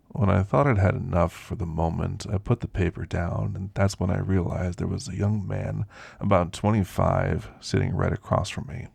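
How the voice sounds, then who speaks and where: A man playing an elderly, folksy, world-weary werewolf in an ASMR roleplay, reminiscing in an unhurried, gravelly, husky voice. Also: low-pitched, darkish tone, no echo, heavily acted.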